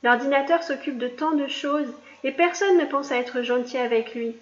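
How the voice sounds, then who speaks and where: A woman's voice: high-pitched, normal speed, lightly acted. A woman voicing two confident young schoolchildren who patiently explain things to the adults. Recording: dull, echoey room.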